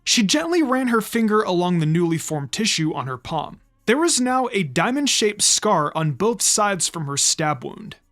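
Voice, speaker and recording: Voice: medium pitch, fairly bright tone. A narrator reading a story aloud in a scripted, audiobook style. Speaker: narrator reading fan fiction.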